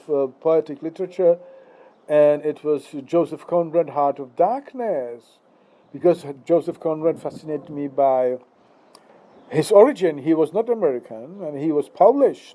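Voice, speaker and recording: A man's voice: on the high side, dark tone, rough recording. A man in his sixties, a native Czech speaker, giving a rambling philosophical monologue with many fillers and restarts. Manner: halting, many fillers and restarts, tag questions.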